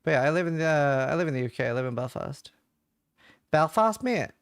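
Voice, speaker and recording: A man's voice: fairly high pitch, lively intonation, a little fast, clean tone, dry recording, clean studio sound. A man from Norway, casually chatting and thinking aloud while he streams a game and answers chat questions.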